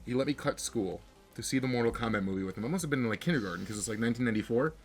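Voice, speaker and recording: A man's voice: mid-range voice, rapid-fire, soft background music. A young man co-hosting a podcast, rambling in casual, jokingly exaggerated comic banter about films and games. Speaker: podcast co-host.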